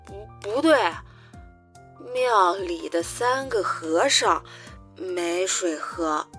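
Awkward young female soldier, audio drama; slow, bumbling, hesitant delivery, soft-hearted. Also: lowish voice, expressive, faint music behind, exaggerated voice. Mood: drunk, childlike. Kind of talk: modern audio drama acting.

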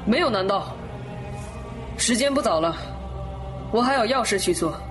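Voice actor as a smug death god lord, sly and mocking, mid-pitched, teasing the listener, radio-drama scene with music underneath. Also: expressive, moderate pace, close to an everyday voice. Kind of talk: Chinese audio drama acting.

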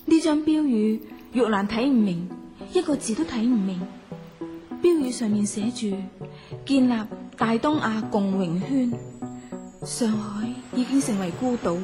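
A woman playing a young novelist who narrates her sombre story in a radio drama. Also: a little high.

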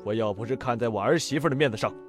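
A man playing a gruff, grumbling middle-aged father in an audio drama. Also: medium pitch, racing, soft background music, close to an everyday voice. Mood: mock grumpy. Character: gruff, grumbling older father, fond underneath.

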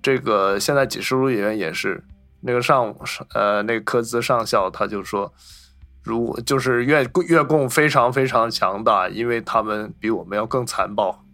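A man with a bright, forward voice at a middling pitch talks as a podcast co-host. He explains casually, backtracking to restate points, over faint music. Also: moderate pace. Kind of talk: casual film discussion.

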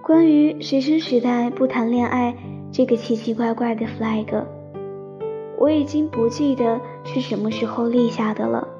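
A woman playing a shy, romantic young woman who tells her love story in a soft, sentimental radio drama style. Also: a little high, unhurried. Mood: wistful, tender. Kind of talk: Chinese radio drama, sentimental first-person narration. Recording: slightly dull sound under piano music.

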